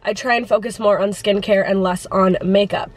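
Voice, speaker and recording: A woman's voice: medium pitch, fairly even intonation, normal speed. Stuffy-nosed woman vlogging, chatty, says like a lot. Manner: chatty, many "like" fillers. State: congested from the flu. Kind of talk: casual vlog chat.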